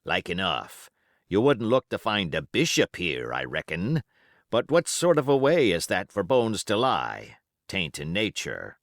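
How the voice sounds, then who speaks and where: A man's voice: sits low, huge pitch swings, a little slow, no room sound, studio quality, exaggerated voice. A man voicing a cunning, commanding pirate cook with folksy seafaring dialect and emphatic oaths, in an audiobook. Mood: wry. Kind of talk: audiobook character voice.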